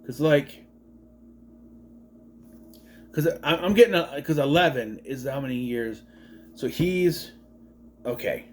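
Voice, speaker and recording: A man's voice: medium pitch, slow pace, pauses often. A man in his late thirties giving a casual movie review, rambling, swearing freely and wandering off on tangents. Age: 30s.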